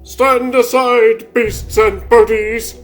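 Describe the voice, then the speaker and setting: A man's voice: very high-pitched, level tone, takes it slowly, faint music behind. A man voicing an earnest, declamatory rabbit superhero who leads his team in a comic dub. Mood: determined. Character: plucky earnest cartoon rabbit superhero leader.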